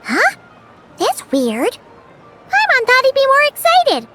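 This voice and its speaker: Tiny fairy sidekick, squeaky high voice, bouncy and lively, excitable. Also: female voice, takes it slowly, soft background music, exaggerated voice. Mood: chirpy. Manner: talks about herself in third person, excitable. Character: tiny chirpy female fairy companion, curious. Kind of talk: game cutscene voice acting.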